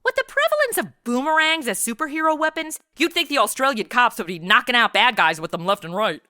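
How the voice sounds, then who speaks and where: A woman's voice: on the high side, sing-song, a little fast, sharp tone, dry recording, studio quality. A woman playing a wisecracking, unimpressed superheroine in a comedic audio drama, with an American accent and a breezy, sarcastic manner. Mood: brisk.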